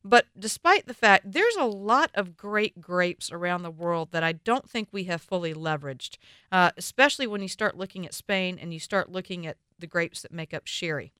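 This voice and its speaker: A woman's voice: fairly low pitch, lively intonation, normal speed, bright, forceful tone, clean tone, no echo, studio quality. A woman with a Southern US accent giving a chatty, confident solo talk on wine trends as a wine educator, with playful asides to her listeners. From American South.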